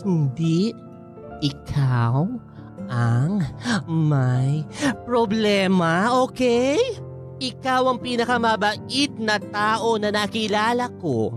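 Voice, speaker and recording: A woman playing an outspoken, protective best friend in a radio drama, expressive, nagging and comforting with exasperated interjections. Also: mid-range voice, extremely slow, over music. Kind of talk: Filipino radio drama dialogue.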